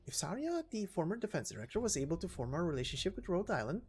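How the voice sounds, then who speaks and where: A woman's voice: lowish voice, big pitch swings, fast talker, a little mellow, breathy edge, full character voice. A woman voicing a playful, businesslike, scheming female company director from a game story, persuasive and teasing in manner. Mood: sly, upbeat. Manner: persuasive, teasing, matter-of-fact. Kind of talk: dramatic game story reading.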